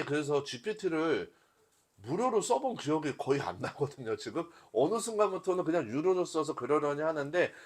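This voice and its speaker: A man instructing a workshop in an informal live lecture style, talking conversationally to his listeners and explaining things step by step. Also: a little high. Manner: conversational, addresses listeners and asks rhetorical questions.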